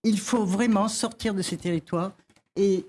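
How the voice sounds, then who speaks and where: A woman academic from France speaks as an election candidate in a televised debate. She talks haltingly, often searching for words, in a voice of middling pitch. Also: steady tone, a little mellow, slightly rough. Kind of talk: debate statement.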